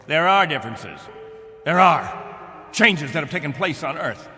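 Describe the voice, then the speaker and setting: Desperate, domineering male colony leader; high, bright, preacherly delivery for reverberant radio drama with music. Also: lively intonation, measured pace, heavily acted. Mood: insistent, impassioned. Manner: impassioned, preaching, emphatic. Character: desperate, controlling male captain of a stranded colony.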